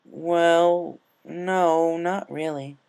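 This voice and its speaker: Male reader as cartoon farm pony mare, folksy, stubborn, caring, higher-pitched voice. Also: fairly even intonation, very slow, gravelly, darkish tone, clear, resonant tone, full character voice. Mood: casual, resigned. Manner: folksy country phrasing.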